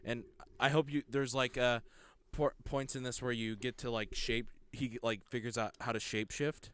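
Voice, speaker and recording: A man's voice: flat delivery, moderate pace, a bit bright, clear, resonant tone. A man co-hosting a casual gaming podcast, talking in a rambling way with frequent laughs and restarts. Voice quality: low voice. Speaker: podcast co-host. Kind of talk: casual chat.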